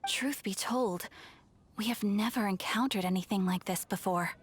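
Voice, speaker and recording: Guild receptionist played by a woman, fairly high voice, formal and composed, earnest briefing in a game cutscene. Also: a little hiss or hum, close to an everyday voice. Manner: formal, measured, polite. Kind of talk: video game cutscene acting.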